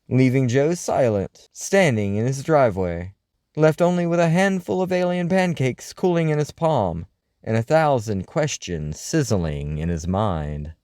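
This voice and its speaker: Guy playing a flamboyant spooky-radio host, kinda high voice, super swoopy delivery, dry close sound, hams up every phrase. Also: darkish tone, no room sound. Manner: grandiose, wordy, alliterative showman delivery. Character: theatrical, flamboyant male late-night paranormal radio host. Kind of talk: scripted comedic radio monologue and storytelling.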